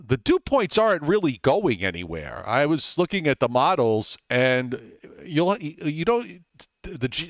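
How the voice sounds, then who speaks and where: A man's voice: medium pitch, dry recording, dull audio. A man hosting a live weather show, chatting casually and teasing his co-host, with frequent fillers.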